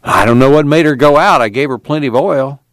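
Guy doing a prickly young farm boy from an audiobook, twang, pitched up a bit, complaining, dry close sound. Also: fast talker, no room sound, lightly acted. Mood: defensive. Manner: folksy rural speech, complaining and bristling. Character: touchy, proud, defensive young country man, handy with cars.

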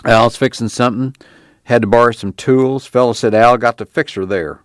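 A man voicing a weary, ashamed farmer father with a slow rural drawl, far deeper than his own voice. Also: lightly acted.

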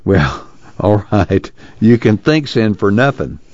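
A man's voice: fairly low pitch, very animated, takes it slowly, a little mellow, no room sound, highs cut off. A man voicing a blunt, practical farm family patriarch with dry humor and a folksy rural American accent. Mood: wry.

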